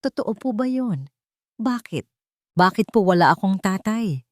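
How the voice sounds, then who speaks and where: A woman's voice: medium pitch, expressive, unhurried, darkish tone, dry recording, clean studio sound, voice pushed up, natural voice. A woman voicing a sweet, anxious eight-year-old boy in an audio story, speaking timidly and politely. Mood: tearful, broken. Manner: timid, polite, childlike questioning.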